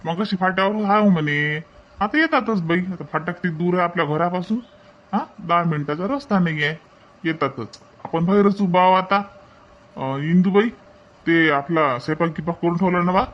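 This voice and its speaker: A man's voice: high voice, normal speed, muffled recording, lightly acted. A man playing a caring old village grandfather in a cartoon, soothing and repetitive. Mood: reassuring. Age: older.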